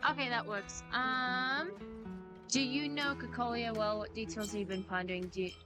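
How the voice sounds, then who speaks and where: A woman's voice: fairly high pitch, fairly even intonation, normal speed. A woman livestreaming a game in a casual, chatty way, half talking to herself and reading aloud as she plays. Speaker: game streamer. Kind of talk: casual livestream gameplay chat. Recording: game music behind the voice.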